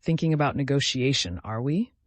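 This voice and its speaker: A man's voice: mid-range voice, expressive, brisk, no room sound, lightly acted. A man voicing an ancient, hostile entity in a fantasy story, murmuring and taunting in a sly way. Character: ancient hostile devouring entity speaking inside a man's mind.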